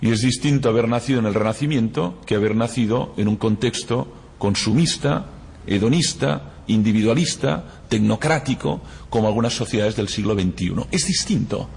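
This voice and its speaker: A man's voice: on the low side, moderate pace, darkish tone, slight background noise. A man whose first language is Catalan gives a university lecture, reflective and explaining through analogies and rhetorical questions. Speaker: guest lecturer. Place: lecture hall. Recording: echoey lecture hall, husky voice.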